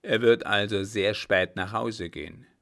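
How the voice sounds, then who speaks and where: Male narrator doing a grim army captain: low, gravelly, harsh and clipped. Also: measured pace, pushed and bright, exaggerated voice.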